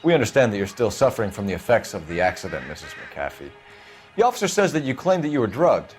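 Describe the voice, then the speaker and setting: A man's voice: fairly low pitch, big pitch swings, fast talker, soft background music. A man voicing a serious, formal 1940s police detective who questions witnesses in clipped, proper phrasing. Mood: stern. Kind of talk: video game cutscene acting.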